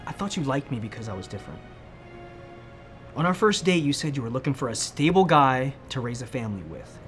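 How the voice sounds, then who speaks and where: A man's voice: medium pitch, big pitch swings, fairly quick, fairly bright tone. Earnest, sensible fiancé played by a man, faint music. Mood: exasperated pleading. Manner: earnest, reasoning, protesting. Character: anxious, sensible, conventional fiancé with many phobias. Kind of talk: comedic short film dialogue.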